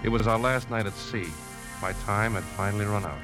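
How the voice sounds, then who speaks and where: Man acting a brooding radio-thriller lead, low-pitched, grave, measured, vintage recording, music underneath. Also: fairly even intonation, natural voice, rough recording. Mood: brooding, tense. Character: brooding man secretly plotting to poison a woman. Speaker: lead actor and narrator. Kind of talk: 1950s radio drama, first-person narration and dialogue.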